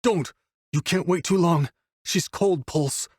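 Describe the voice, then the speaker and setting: A man performs a grief-stricken man at breaking point in an audiobook. His voice is pushed high and strained with desperation, pleading and crying out. The recording is close and dry. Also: takes it slowly, a little mellow, slightly rough, no room sound. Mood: desperate, disbelieving.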